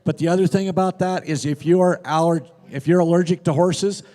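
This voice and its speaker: A man's voice: fairly high pitch, fairly even intonation, a little fast, mellow, in a large hall. A man announcing horse sale lots, describing each horse in a plain, informative way with casual fillers. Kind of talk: reading lot descriptions.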